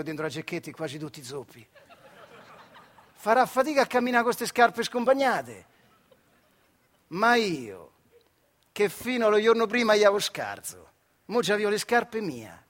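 A man playing a wry, earthy factory worker recalling his life in a theatrical radio monologue, in colloquial central Italian dialect from the Marche. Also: sits high. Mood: proud, animated. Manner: colloquial, emphatic, conversational with dramatic pauses. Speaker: stage actor performing a monologue.

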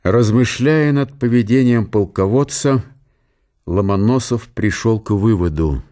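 An actor playing the sober narrator of a historical radio drama, reading literary prose in a measured, solemn way. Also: male voice, on the low side, expressive, dark tone. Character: sober storyteller of a historical tale. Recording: music behind the voice.

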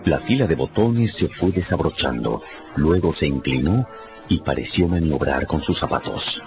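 A male narrator with a low voice tells a scripted radio story. His delivery is dramatic and lively in intonation. Music plays behind him. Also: moderate pace, dull audio.

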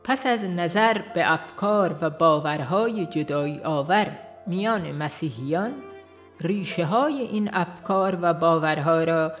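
A woman reading scripted audiobook narration in a measured, formal manner. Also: fairly low pitch, soft background music. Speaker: audiobook reader.

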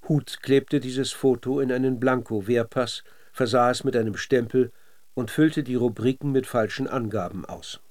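A male narrator with a standard German accent and a medium-pitched voice. He reads scripted documentary drama for radio, measured and factual throughout. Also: steady tone, darkish tone.

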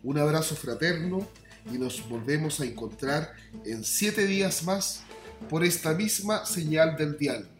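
A man's voice: a little high, expressive, a little slow. A man with a Chilean accent hosting a radio news programme in a formal, scripted style. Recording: roomy echo, music bed.

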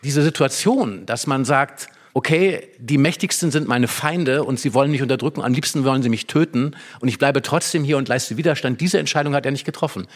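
A man's voice: mid-range voice, a little fast, few pauses. A man talking in a conversational, explanatory way, analytical and emphatic, often posing rhetorical questions. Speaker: literary discussion guest explaining a play.

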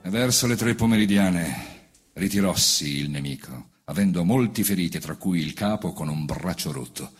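A man's voice: sits low, lively intonation, normal speed. A man reciting a memoir in a declamatory, theatrical stage style. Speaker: reciting actor. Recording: loud band music behind the voice. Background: brass band accompaniment.

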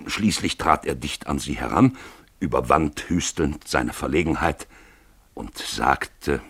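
A man with a low, husky voice narrates a literary radio play. His storytelling is expressive and ranges widely in pitch, recorded in a dry studio sound. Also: takes it slowly, no room sound.

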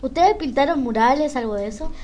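A woman with a Rioplatense accent hosts a radio chat in a high-pitched voice. She asks questions in a casual, conversational way. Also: expressive, fairly quick, dark tone, a little hiss or hum. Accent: Rioplatense Argentine. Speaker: radio host.

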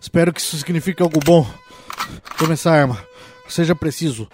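A stern tiger detective acted by a man with a slightly high voice. He speaks in formal, deliberate commands with tense defiance, as in a comedic police audio drama, over faint music. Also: close to an everyday voice. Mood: urgent, commanding.

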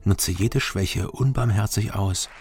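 An actor playing a haunted middle-aged former border guard from East Germany, narrating his thoughts in a subdued, halting way in a radio drama. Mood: bitter recollection. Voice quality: low, gravelly. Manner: subdued, halting, weighty short phrases. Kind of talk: radio drama inner monologue. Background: instrumental music.

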